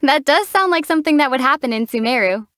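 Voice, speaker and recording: A calm young traveler heroine. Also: female voice, high voice, fast talker, no echo. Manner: calm, gently wry replies. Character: calm young traveler heroine, level-headed and gently wry. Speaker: game protagonist. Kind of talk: video game character dialogue. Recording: dry, close studio voice.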